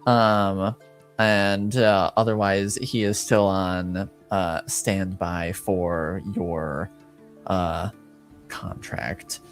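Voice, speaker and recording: A man with a fairly low voice narrates a tabletop game off the cuff. He speaks slowly and hesitantly, feeling his way through each phrase, with faint music playing behind him. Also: little pitch movement. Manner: halting, hesitant delivery. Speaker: tabletop roleplaying game participant.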